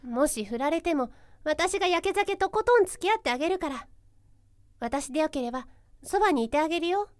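An actress playing a spirited, caring and bossy college-age ghost girl in a Japanese voice drama, with an expressive, emphatic delivery. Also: high-pitched, brisk, no echo. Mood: tender. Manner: emphatic, expressive, pushy yet tender. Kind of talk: Japanese audio drama acting.

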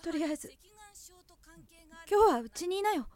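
A woman's voice: extremely high pitch, expressive, normal speed, a little mellow. A woman acting a plucky young woman in an audio drama, blunt, familiar and caring toward a friend. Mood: worried, reassuring. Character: plucky young woman sheltering her hunted male friend. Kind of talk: Japanese amateur voice drama acting.

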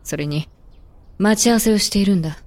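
An actress as a proud werewolf woman in a voice drama. She speaks bluntly and with self-assurance, in brusque masculine wording. Her voice sits at a middle pitch for a woman. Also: brisk, a little mellow, no echo, lightly acted. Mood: brisk, composed. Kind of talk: Japanese amateur voice drama acting.

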